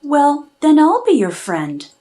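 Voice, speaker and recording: A woman's voice: sits high, huge pitch swings, slow pace, warm tone, voice pushed up, exaggerated voice. A woman voicing a big, friendly squirrel in a children's storybook, hearty and bellowing.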